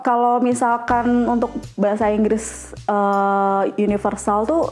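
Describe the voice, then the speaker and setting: A woman's voice: medium pitch, level tone, a little slow, a little mellow. A woman in her thirties from West Java with a light Sundanese accent, an English tutor chatting casually and explaining things with lots of fillers. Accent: Sundanese-tinged Indonesian. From West Java, Indonesia. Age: 30s. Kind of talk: casual interview chat.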